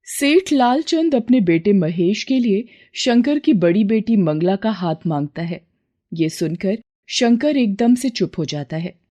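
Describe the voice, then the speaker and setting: Mellow woman narrating a moral story. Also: mid-range voice, lively intonation, measured pace.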